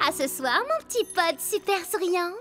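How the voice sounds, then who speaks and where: A woman's voice: very high-pitched, sing-song, moderate pace, over music. Vain microbe girl. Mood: smug, flattered. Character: vain, sugary young girl microbe, dramatic and doting. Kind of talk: French animated comedy dub.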